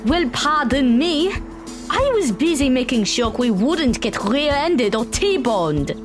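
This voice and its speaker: Audio drama role: sarcastic, bossy teen girl, slow delivery, lively pitch swings. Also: over music, heavily acted. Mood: dry, puzzled.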